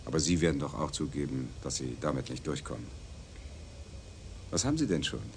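A cocky, condescending man in a radio crime play. His delivery swings widely in pitch, sneering and foul-mouthed, with faint outdoor street noise around him. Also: expressive, fairly quick, darkish tone. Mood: smug, bargaining. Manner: condescending, mocking. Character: arrogant, foul-mouthed law graduate, slick and drunkenly cocky. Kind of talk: German radio crime drama acting. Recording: noisy outdoor street ambience.

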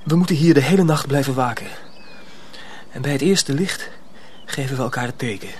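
A man's voice: mid-range voice, a little fast, a little mellow, slight background noise, lightly acted. An actor playing an earnest, secretive young mill apprentice in a radio drama, protective of his younger comrade. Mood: urgent, guiding.

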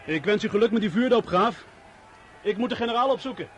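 Radio drama: man playing polite officer, composed under fire, high raised voice, heavy battle noise behind. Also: fast talker, close to an everyday voice. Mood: courteous, brisk. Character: courteous officer, calm under fire, guiding a count. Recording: loud artillery and horses behind.

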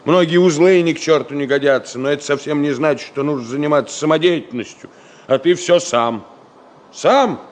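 A man's voice: fairly high pitch, a little fast, close to an everyday voice. An actor playing a middle-aged senior engineer in a radio drama, scolding and teasing in a folksy, ironic, fatherly way. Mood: stern, sarcastic. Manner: folksy, ironic, chiding with rhetorical questions. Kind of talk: Soviet radio drama acting. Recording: noisy 1970 radio play recording.

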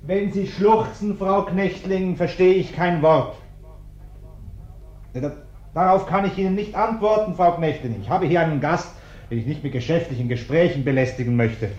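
A man's voice: fairly high pitch, normal speed, darkish tone, lightly acted. An actor playing a curt, evasive, formal bourgeois businessman on the telephone in a German radio play. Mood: impatient, evasive. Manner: brusque, formal, impatient. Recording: dull, roomy old radio recording.

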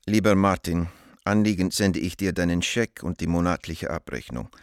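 A man acting an anguished middle-aged letter writer in a radio drama. His voice is very low and recorded close and dry. He speaks formally and gravely, pleading with an old friend in measured, urgent phrases. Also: dry recording, close to an everyday voice. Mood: worried, beseeching.

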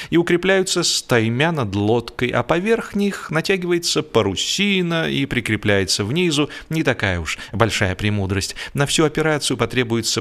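A man narrating a humorous first-person story expressively, with dramatic pauses and a shifting tempo. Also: mid-range voice, a bit bright. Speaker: audiobook narrator. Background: music.

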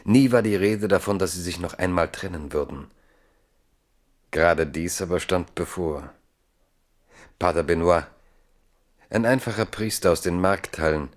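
Low male narrator for an old literary radio play, grave, deliberate, dramatic.

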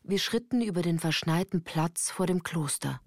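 A woman's voice: on the low side, lively intonation, normal speed, no room sound. A woman reading scripted literary first-person narration for a radio play, composed and carefully articulated. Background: music.

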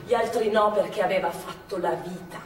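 A woman's voice: medium pitch, fairly even intonation, natural voice. An actress playing a plain-spoken, fiery former partisan woman, telling war memories in an emphatic, colloquial theatrical monologue. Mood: tender, wistful. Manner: colloquial, emphatic, halting for effect. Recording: echoey theatre, distant mic, faint noise.

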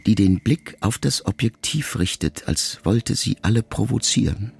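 Deep gravelly male audiobook narrator. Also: animated, normal speed. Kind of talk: audiobook reading of a letter. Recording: loud music underneath.

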